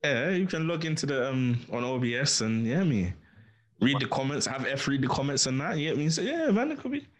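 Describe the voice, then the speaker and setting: A man, co-hosting a podcast in casual chat from a small home studio, laid-back and quick to laugh. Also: mid-range voice, very animated. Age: 30s. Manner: laid-back, laughs a lot, repeats phrases. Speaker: podcast co-host. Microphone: studio mic on arm, headphones.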